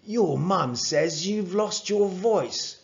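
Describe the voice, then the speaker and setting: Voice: mid-range voice, lively intonation, takes it slowly, vocal fry, lo-fi sound, pitched above the performer's own voice. A narrator voicing a friendly, joking young boy in a children's story.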